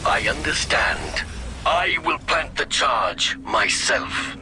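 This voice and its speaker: An actor playing a calm, dutiful alien military commander in a video game, speaking in a firm, measured, tactical manner. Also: male voice, medium pitch, noisy background. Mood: resolute. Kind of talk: video game dialogue acting.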